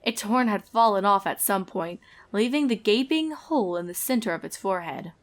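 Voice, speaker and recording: A woman's voice: medium pitch, lively intonation, normal speed. A woman reading a fan fiction story aloud as narrator, in a scripted storytelling style.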